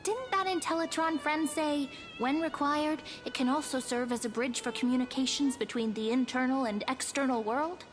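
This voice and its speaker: Sweet, high-voiced young girl companion played by an actress. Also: moderate pace, hardly any pauses, soft background music, lightly acted. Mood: earnest, coaxing. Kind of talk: scripted video game dialogue.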